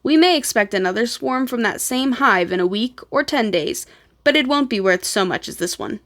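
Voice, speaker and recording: A woman reads the part of a kindly old grandfather from a children's storybook. He is gentle and knowing, talking to children in old-fashioned, explanatory sentences. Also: a little high, expressive, moderate pace. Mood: explaining.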